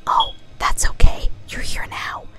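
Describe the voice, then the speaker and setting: A woman's voice: measured pace, loud music behind the voice, natural voice. Woman as gushing, whispering young pony girl. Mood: excited, gleeful. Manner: bouncy, gushing. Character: gleeful, overexcited young female cartoon pony. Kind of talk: whispered ASMR-style story reading.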